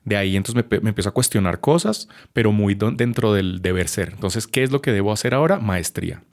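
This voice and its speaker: Low-voiced man, reflective. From Colombia. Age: 30s. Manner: reflective, measured, with frequent hesitations. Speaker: podcast guest. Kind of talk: personal testimony in a podcast conversation.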